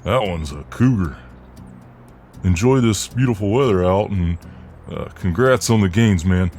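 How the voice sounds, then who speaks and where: A man's voice: low voice, unhurried, darkish tone, a little hiss or hum. A man acting out a friendly, protective, cocky Southern US mailman in an ASMR role-play, with a folksy, soothing drawl. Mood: cheerful, breezy.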